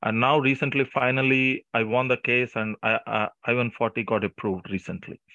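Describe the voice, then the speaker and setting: Bright-voiced man on a dry lo-fi call. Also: mid-range voice, steady tone, normal speed, no room sound. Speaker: caller seeking immigration advice. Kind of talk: spontaneous explanation of own case. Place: phone conference call. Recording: dull lo-fi conference call.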